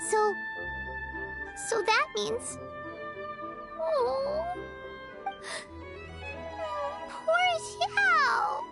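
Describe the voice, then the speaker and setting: A woman voicing a small childlike fairy companion in a video game, chirpy, excitable and expressive. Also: extremely high pitch, extremely slow, vocal fry, full character voice. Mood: puzzled, concerned. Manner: speaks of herself in third person, expressive. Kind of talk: video game character acting. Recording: game music playing behind.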